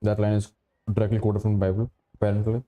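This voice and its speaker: A man's voice: on the low side, flat delivery, rapid-fire, phone-quality sound. A man co-hosting a game stream, giving casual commentary in a dry, blunt way. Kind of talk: casual live gameplay commentary.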